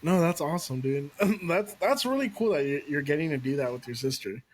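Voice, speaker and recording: A male podcast host with a somewhat high speaking pitch. He chats casually with his guest, hyped about the music and dropping swear words freely. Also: expressive, a little fast, breathy edge. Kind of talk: casual interview chat.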